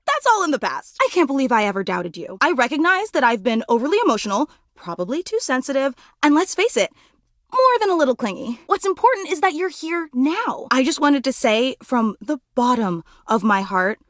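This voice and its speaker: A clingy, fawning female superfan for a video game. Her voice sits high and swings widely in pitch as she gushes with overemotional, melodramatic devotion. The sound is dry and close, with no room to it. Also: big pitch swings, a little fast, fairly bright tone, dry recording. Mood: clingy, apologetic. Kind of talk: video game character voice acting.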